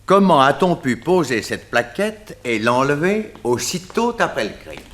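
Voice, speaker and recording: An actor playing a stern male investigator in a French radio detective serial, speaking formally and deliberately. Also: mid-range voice, big pitch swings, a bit bright. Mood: stern, probing.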